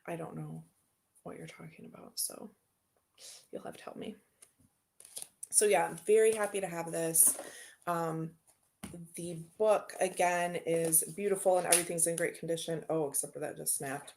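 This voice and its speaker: A woman's voice: on the low side, fairly even intonation, normal speed, creaky, long pauses, darkish tone, a little hiss or hum. A woman hosting a tarot channel, chatting casually and rambling as she unboxes decks and answers viewer comments.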